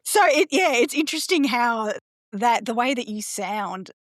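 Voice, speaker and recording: A woman's voice: on the high side, animated, unhurried, dry recording, clean studio sound. A woman co-hosting an interview with an Australian accent that carries traces of RP, talking in an engaged, chatty way. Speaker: podcast co-host. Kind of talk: interview conversation.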